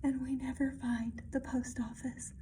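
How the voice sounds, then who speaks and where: A woman's voice: high-pitched, little pitch movement, a little slow, warm tone, a little hiss or hum. A woman voicing an anxious young kitten in a storybook, fretting and exclaiming dramatically about scary things. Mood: worried.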